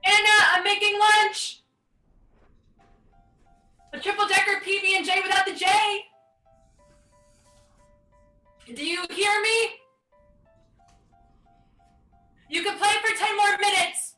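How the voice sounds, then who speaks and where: A woman acting a sarcastic grown daughter in a stage play. Her voice is high and sharp, with a dry, accusing edge, and it echoes around the room. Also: steady tone, moderate pace, pauses often, soft background music. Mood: calling out. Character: resentful, sarcastic adult daughter, a weary young mother.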